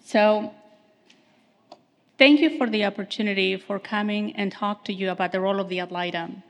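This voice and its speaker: A woman attorney speaks softly and carefully into a podium microphone, giving a formal presentation at a conference. Her voice sits at an ordinary middle pitch. A long reverberation from the hall trails behind her words. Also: steady tone, moderate pace. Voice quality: soft voice.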